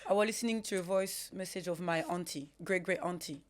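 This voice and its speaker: A woman's voice: fairly low pitch, a little fast. Woman in her thirties, dry teasing humor. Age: 30s. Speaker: podcast co-host. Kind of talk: casual chat.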